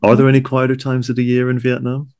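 A man's voice: mid-range voice, monotone, fast, a little mellow, smooth. A man hosting a podcast in a chatty, wordy, conversational interview style, often repeating his words. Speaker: podcast host.

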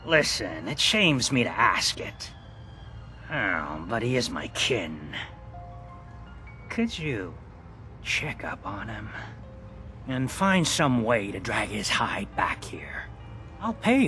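An actor playing a proud, gruff barkeep in a video game, with a hearty, folksy, emphatic way of talking. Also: male voice, fairly low pitch, wildly swooping pitch, slow, pauses often, bright, forceful tone, faint music behind, heavily acted. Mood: reluctant, worried pride. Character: proud, gruff barkeep in a post-apocalyptic harbor town. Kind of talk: video game character acting.

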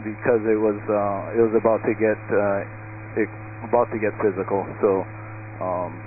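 A man speaking as an airline pilot over aviation radio in halting, hesitant phrases. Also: on the low side, flat delivery. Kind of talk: air traffic radio calls. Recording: tinny narrowband cockpit radio, clear static hum.

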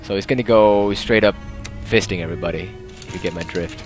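A man's voice: low voice, brisk, faint music behind. A young man casually narrating a game, rambling and joking as he plays. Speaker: let's play host. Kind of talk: casual gameplay commentary.

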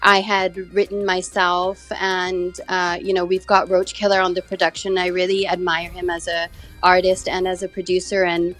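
A woman's voice: fairly low pitch, completely flat delivery, normal speed, soft background music, poor audio. A young woman, a singer raised in Canada and India, chatting as a radio interview guest in a studio. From born in the UK, raised in Canada and India. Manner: chatty, warm and grateful, many fillers. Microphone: studio microphone.

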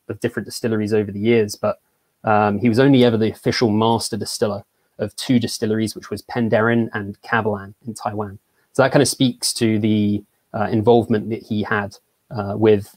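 A young English man from Surrey, a brand ambassador presenting in an online tasting, relaxed and hesitant with many fillers. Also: on the low side, brisk, no echo. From England (Surrey). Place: small home room. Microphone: video call.

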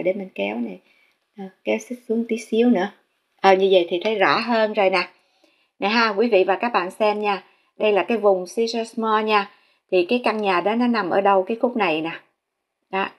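A Vietnamese woman vlogging casually, speaking politely to viewers with many fillers as she tours a house listing and shows a map. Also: medium pitch. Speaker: real estate vlogger. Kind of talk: casual narrated house tour.

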